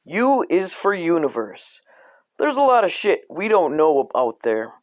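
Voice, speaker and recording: A man reading a dark comic coloring book aloud in a deadpan way, breaking off into profane asides. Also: on the high side, measured pace, dry recording.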